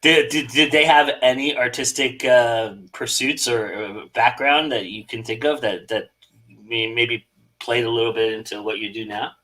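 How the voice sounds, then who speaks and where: A man's voice: mid-range voice, fairly even intonation, moderate pace, sharp tone, low-quality recording. A man hosting an interview, asking questions and giving brief reactions. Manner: short prompts and brief reactions. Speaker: interviewer host.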